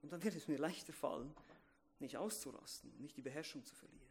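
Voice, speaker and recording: Emphatic German-speaking preacher, fairly high voice. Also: male voice, fast talker, creaky, slight background noise. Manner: emphatic, swings between loud stresses and quiet asides; repeats phrases, asks the congregation questions. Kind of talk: sermon.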